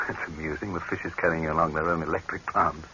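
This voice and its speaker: An actor playing a pompous, mocking leader of a state in an old radio horror drama, drily amused and commanding. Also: male voice, a bass voice, pushed and bright, exaggerated voice. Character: imperious dictator, leader of a state, jaded and mocking. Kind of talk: 1930s-40s radio horror acting. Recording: hissy, noisy, muffled old radio recording.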